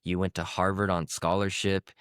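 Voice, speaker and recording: A man's voice: low-pitched, level tone, moderate pace, a bit bright, clean tone, no echo, studio quality. A man hosting a podcast, reading a polished intro and then chatting casually with his guest. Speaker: podcast host.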